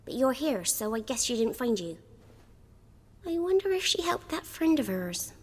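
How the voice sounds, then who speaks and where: A woman's voice: a little high, big pitch swings, moderate pace, a little mellow, long reverb tail. An eager, chirpy little girl. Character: earnest little village girl.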